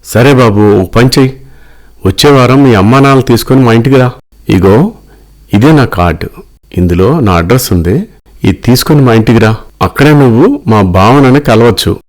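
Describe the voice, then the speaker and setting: A man voicing a wealthy middle-aged businessman father in a cartoon story, measured, earnest and paternal. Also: medium pitch, expressive, darkish tone, natural voice. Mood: frank, assured.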